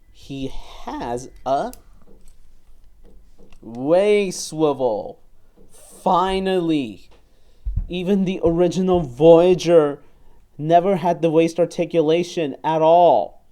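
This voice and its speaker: A man in his twenties giving a casual, enthusiastic hands-on review, often halting and repeating words. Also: a little high, mellow. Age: 20s. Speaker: toy reviewer. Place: small bedroom, indoors.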